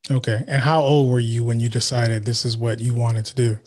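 Man, podcast host, relaxed interviewer, lots of ums, studio mic. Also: medium pitch, fairly quick, slightly rough. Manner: relaxed, many filler ums. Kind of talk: casual interview. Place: home studio. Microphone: studio mic with headphones.

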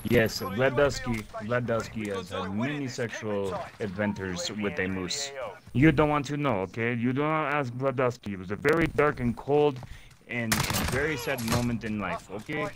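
A man's voice: medium pitch, moderate pace, crowd noise, lightly acted. A man putting on a fake Russian accent as a boastful gamer who talks about himself in the third person, in broken English, over in-game voice chat. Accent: put-on Russian. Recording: game noise and gunfire behind.

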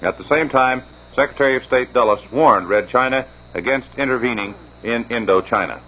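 A man reading the news and weather in a measured, formal 1950s radio style. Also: medium pitch, slight background noise. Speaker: newscaster. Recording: dull old radio transcription.

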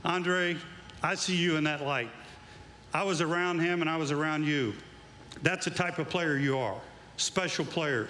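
Middle-aged guy at an awards stage, bright voice bouncing around the pa, faint crowd hum behind. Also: on the high side, echoey room. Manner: folksy storytelling, building to emphatic tribute. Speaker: former coach presenting an award. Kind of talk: induction speech with stories. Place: outdoor stage at an evening awards event. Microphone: handheld mic.

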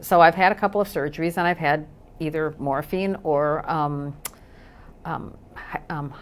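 Older woman with a low voice. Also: fairly even intonation, normal speed. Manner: anecdotal, searches for words. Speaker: community group guest. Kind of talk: casual talk show conversation. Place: TV studio, indoors. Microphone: lapel mic.

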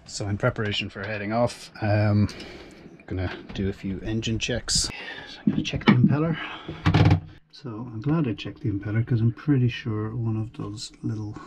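A man with a low, level voice talks through his plans in a relaxed vlog style, working things out aloud and often doubling back on words. He records aboard a small boat, and a steady layer of noise sits behind him. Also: moderate pace. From Ireland. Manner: methodical, thinking aloud, repeats words. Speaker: solo sailor vlogger. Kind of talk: casual explanatory vlog narration. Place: small boat cabin and engine bay.

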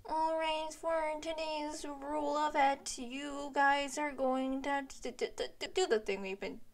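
A young woman doing casual, comedic character dialogue for an amateur animation, with a slangy, silly, halting delivery. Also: sits high, steady tone. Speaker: creator and voice actor of an animated web series.

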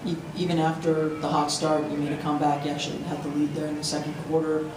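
A man's voice: fairly high pitch, monotone, quick pace. A male reporter asking a detailed question at a press conference. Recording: echoey room, distant, noisy.

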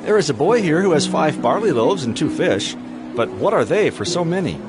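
A man's voice: a little high, very animated, normal speed. An actor playing a doubtful male disciple in a dramatized scripture reading. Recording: crowd noise behind voice. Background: music and outdoor crowd.

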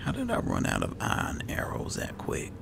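Super deep creaky guy muttering through a let's play, clear noise behind him. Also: huge pitch swings, normal speed. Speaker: gaming video host. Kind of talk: casual let's play commentary.

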